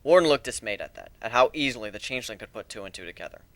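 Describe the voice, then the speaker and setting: A man reading a story aloud as a dramatic narrator, in a measured, deliberate way with expressive emphasis. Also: on the low side, no room sound.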